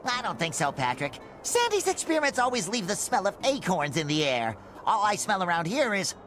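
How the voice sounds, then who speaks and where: A man voicing an earnest, excitable young sea sponge in a cartoon game, squeaky and nasal, with eager, naive delivery. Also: brisk, faint music behind, heavily acted. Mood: reassuring. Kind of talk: cartoon video game acting.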